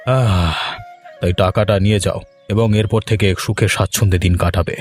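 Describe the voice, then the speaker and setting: A man voicing a generous, sentimental village gentleman in a cartoon, speaking effusively and benevolently. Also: deep voice, fairly even intonation, normal speed, soft background music, lightly acted. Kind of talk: cartoon character dialogue.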